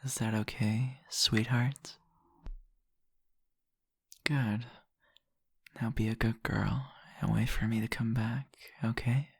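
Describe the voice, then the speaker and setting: A man playing a seductive, possessive vampire in an intimate ASMR roleplay, teasing and murmuring deliberately in a husky, gravelly voice. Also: medium pitch, steady tone, dry recording, studio quality, exaggerated voice.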